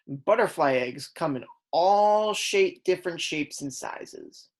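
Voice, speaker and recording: Woman, low pitch, slow pace, teacher's read-aloud, instructional, deliberate, stressed key words. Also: expressive. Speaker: kindergarten teacher reading aloud. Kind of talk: read-aloud lesson for young children.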